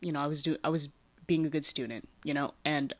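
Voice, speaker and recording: A young woman talks casually into a close mic, recounting her own past with plenty of fillers. Her phrases often fade softly at the ends. The sound is dry with no room around it. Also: low voice, fairly even intonation, fast talker, dry recording. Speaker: podcast host. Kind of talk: casual personal storytelling.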